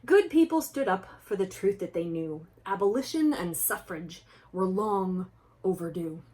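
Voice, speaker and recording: A woman reads a children's picture book aloud with a lively, swooping delivery. Her voice is medium-pitched, and she moves between expressive reading and chatty explanations aimed straight at young listeners. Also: a little slow. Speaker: children's book reader and presenter.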